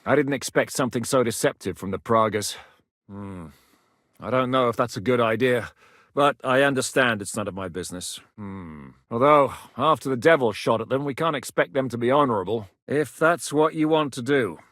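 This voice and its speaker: A man's voice: mid-range voice, expressive, moderate pace, fairly bright tone, dry recording, natural voice. An actor playing a grizzled male war captain in a medieval video game, blunt, commanding and dryly sardonic, recorded in a studio booth. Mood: wary. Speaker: mercenary leader in a medieval game.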